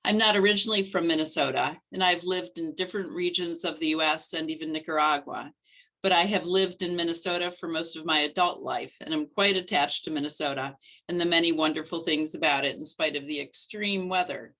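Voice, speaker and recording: Middle-aged woman coordinator, low and bright, clear steady delivery, webcam at home. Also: brisk, rough recording. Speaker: study coordinator. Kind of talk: scripted presentation. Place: living room at home.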